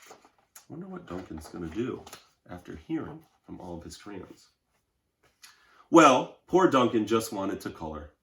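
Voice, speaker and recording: Animated male teacher in an echoey room. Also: on the low side, measured pace, creaky, long pauses. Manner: instructive, encouraging, addresses children directly. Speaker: elementary school teacher. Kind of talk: read-aloud and lesson for children. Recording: roomy, echoey indoor sound.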